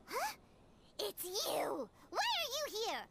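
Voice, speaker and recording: A woman voicing a tiny, cheeky, excitable fairy companion in a video game, squeaky and childlike. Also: slow pace, noisy background, exaggerated voice. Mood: curious, surprised. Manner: exclamatory, cheeky. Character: tiny excitable high-pitched fairy sidekick, speaking in third person.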